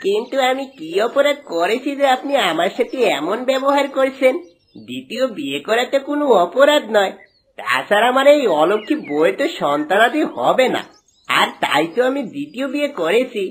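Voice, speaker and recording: A woman's voice: a little high, sing-song, normal speed, slightly rough. A woman voicing a wheedling, two-faced, cruel village husband in a cartoon, with oily, exaggerated delivery. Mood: indignant, wheedling.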